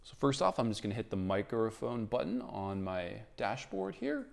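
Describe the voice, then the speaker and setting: A man's voice: on the low side, very animated, normal speed, gravelly, darkish tone. A man, explaining technology as the host of a tutorial video. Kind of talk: explanatory tech tutorial, presenting to camera and in voice-over.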